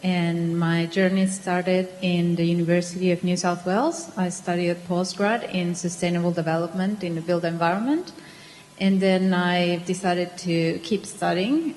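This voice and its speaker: A woman introduces herself in a measured manner. Her voice is lowish and almost flat in tone. A long hall reverb and faint noise sit behind her. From Peru. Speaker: panelist.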